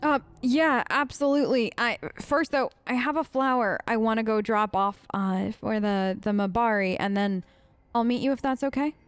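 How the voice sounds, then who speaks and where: A woman's voice: fairly high pitch, normal speed, clean tone, no room sound. Eager, hesitant young woman. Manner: hesitant, enthusiastic. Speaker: let's play host. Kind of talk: role-play voice acting.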